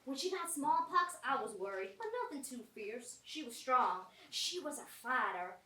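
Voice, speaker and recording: A woman playing a kindly, prim Southern country woman in mourning, folksy and gently scolding, in a live amateur stage comedy. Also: high voice, expressive, moderate pace. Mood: steadfast. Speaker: actor in a staged reading of a short play. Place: small college theatre stage. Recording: roomy theatre, mic at a distance.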